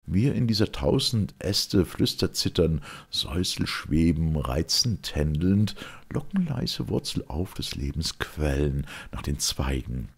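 Male reader for classical verse drama: extremely low, husky voice, solemn and theatrical. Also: sing-song, unhurried, hardly any pauses. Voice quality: gravelly, husky.

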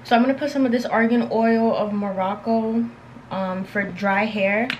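Young female vlogger, slightly high voice, loose slangy get-ready-with-me chatter, bedroom recording with faint noise. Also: fairly even intonation, moderate pace, highs cut off. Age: young adult, around twenties. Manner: slangy, rambling, filler-heavy with frequent "like". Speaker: beauty and lifestyle vlogger. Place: bedroom at home, indoors.

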